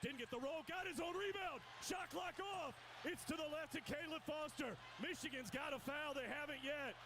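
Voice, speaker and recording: A man calling live basketball play-by-play from courtside, rapid and excitable, rising with the action. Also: extremely high pitch. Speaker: play-by-play commentator. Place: courtside in an arena. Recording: noisy live arena broadcast. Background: loud crowd.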